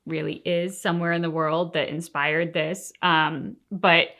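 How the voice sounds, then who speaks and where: A woman's voice: low-pitched, flat delivery, a little slow, fairly bright tone. A woman co-hosting a reaction show, talking casually and reflectively in an earnest, thinking-aloud manner.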